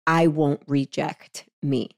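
A woman's voice: low-pitched, level tone, takes it slowly, creaky, no room sound, clean studio sound. A woman hosting a casual interview, talking conversationally with her guest. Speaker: interview host.

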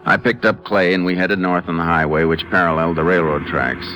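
1950s radio drama, man playing Texas Ranger investigator, deep voice, calm, measured, businesslike, music behind. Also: natural voice, low-quality recording. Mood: measured narration. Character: steady, methodical male Texas Ranger investigator. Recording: old radio broadcast with music.

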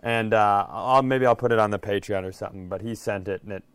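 An American man chatting casually as a podcast co-host, with halting delivery and self-deprecating humour. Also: fairly low pitch, a crowd in the background.

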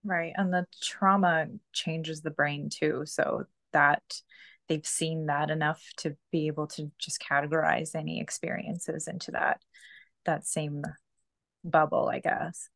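A woman's voice: low voice, steady tone, unhurried, creaky, a bit bright, dry recording. A woman in her thirties, a podcast guest talking casually and loosely, full of "like" and "you know.". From Canada. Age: 30s. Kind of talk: casual conversational storytelling.

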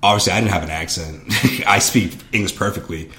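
A man's voice: low voice, fairly quick, pushed and bright. A young man, a podcast co-host, talking earnestly about his school years in casual conversation, often repeating words as he starts a thought. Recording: roomy echo.

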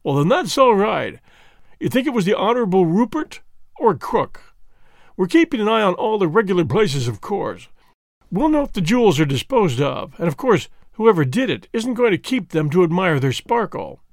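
A man's voice: sits high, big pitch swings, moderate pace, gravelly, dry recording, studio quality, pitched above the performer's own voice, heavily acted. A man reading the part of a breezy, chummy middle-aged police inspector who is mildly condescending.

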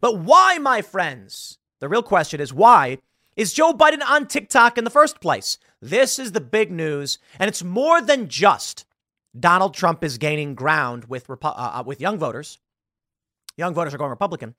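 A man's voice: high voice, very animated, normal speed, bright, forceful tone, no room sound, clean studio sound. A man in his thirties hosting live political commentary, impassioned and sarcastic, mocking the people he quotes. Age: 30s.

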